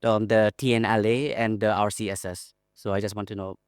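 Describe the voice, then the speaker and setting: A man's voice: on the low side, flat delivery, brisk, clean tone, no echo, studio quality. A young man from Thailand politely asking a question at a seminar. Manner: polite, apologetic. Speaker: audience member asking a question. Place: seminar room.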